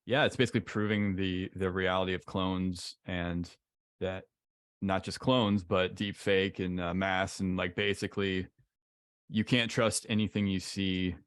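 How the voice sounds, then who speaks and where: Deep-voiced relaxed man. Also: no room sound, clean studio sound. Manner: relaxed, halting, says "like" and "basically" a lot. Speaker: podcast co-host. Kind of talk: casual webinar talk and slide reading.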